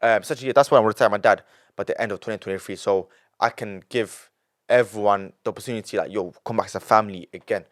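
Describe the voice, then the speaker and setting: Fast-talking young London man. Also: on the low side. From London, England. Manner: rambling, emphatic, repeats himself, lots of restarts. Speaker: podcast guest. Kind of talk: casual podcast interview.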